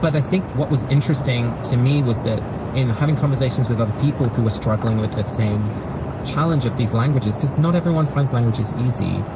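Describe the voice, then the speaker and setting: European guy cohosting a podcast, wry self-mocking storytelling, loud noisy background. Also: medium pitch, fairly quick. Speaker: podcast co-host.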